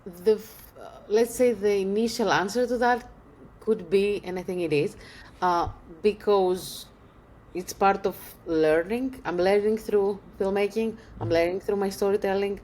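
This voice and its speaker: A woman's voice: medium pitch, a little slow, a little mellow, low-quality recording. A woman from Greece, an English speaker, answering interview questions thoughtfully and searching for words. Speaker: filmmaker guest.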